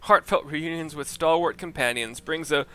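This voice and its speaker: A man's voice: on the high side, normal speed, pushed and bright, clean tone. Man doing a hearty, sentimental drinking comrade. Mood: tearful heartiness. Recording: music behind the voice.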